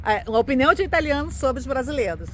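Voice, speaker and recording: A woman's voice: high voice, expressive, racing, a bit bright, a little hiss or hum. A Brazilian woman with a Brazilian accent, hosting a vlog and chatting with her guest while talking straight to her viewers. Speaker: vlog host. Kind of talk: casual interview chat.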